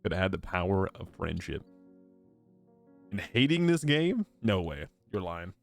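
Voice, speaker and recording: A man's voice: low voice, very animated, measured pace, vocal fry. A man streaming a video game playthrough with casual, slangy, teasing commentary. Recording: game music behind voice. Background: game music.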